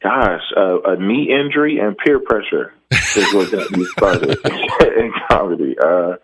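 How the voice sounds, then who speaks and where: A man's voice: low voice, animated, a little slow, very noisy. A man, a comedian who has just woken up, calling in to a radio show and joking about himself in a laid-back way. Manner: laid-back, self-mocking humor. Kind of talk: casual phone chat.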